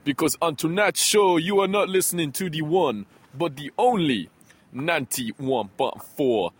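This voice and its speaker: A man's voice: fairly high pitch, measured pace, breathy edge, no echo. A man delivering a hype intro as a radio show announcer.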